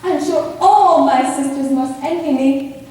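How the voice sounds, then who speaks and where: A woman acting a giddy, boastful young bride in a stage play, gushing in a high voice. The recording is distant and very echoey, as from a large hall. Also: a little slow, a little mellow. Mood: gleeful boasting. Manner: gushing, showy.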